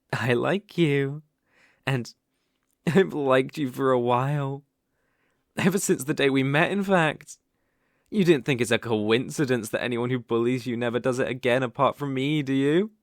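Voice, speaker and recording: A man's voice: mid-range voice, no room sound, clean studio sound, natural voice. A British man acting a dominant, teasing, possessive young bully in love in an intimate ASMR roleplay. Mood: tender, possessive. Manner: slow, teasing, commanding.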